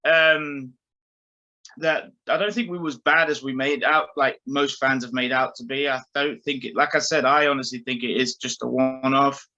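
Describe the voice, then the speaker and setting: A man with a bright, forward voice chats about football as a panellist. The audio is slightly dull and lo-fi, and he talks in an informal, conversational way. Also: medium pitch, fairly even intonation, normal speed. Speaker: podcast panellist. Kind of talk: casual football chat.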